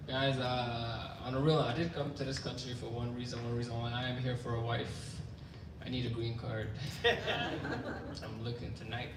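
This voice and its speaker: A man's voice: medium pitch, normal speed. A young man from Sudan, doing a loose, chatty stand-up set full of crowd work. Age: young adult. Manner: loose, chatty, heavy on "you know" and "guys". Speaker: stand-up comedian. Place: indoor open mic venue. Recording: roomy venue, distant mic, background noise.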